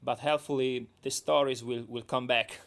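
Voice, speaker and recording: A man from Italy with a strong Italian accent, a documentary director speaking as a guest on a radio talk show, halting and repeating words as he goes. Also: mid-range voice, fairly even intonation, gravelly. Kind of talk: radio interview over the phone. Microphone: phone line.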